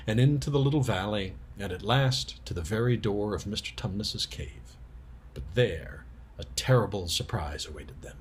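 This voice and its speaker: A man's voice: fairly low pitch, husky. A man narrating a storybook expressively as a storyteller.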